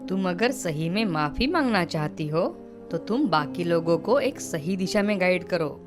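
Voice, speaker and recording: A woman voicing a principled, frank schoolboy who admonishes earnestly in an animated moral story. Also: on the low side, a little slow, music in the background. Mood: earnest advice. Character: principled schoolboy classmate, frank and level-headed.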